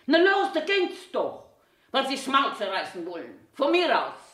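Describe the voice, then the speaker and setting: A woman's voice: sits high, wildly swooping pitch, a little slow, fairly bright tone, exaggerated voice. A woman in her eighties with a Bavarian accent, playing a cranky, eccentric old washroom attendant grumbling to herself in a theatrical monologue. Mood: bossy scolding. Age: 80s. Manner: grumbling self-talk, swinging between scolding and muttering. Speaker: actor.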